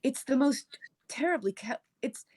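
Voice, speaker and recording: Young male lodger of seventeen, read by a woman: courteous, unsure, curious, animated storybook delivery. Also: sits high, unhurried, voice pushed up. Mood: curious, impressed. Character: polite, puzzled seventeen-year-old boy lodger.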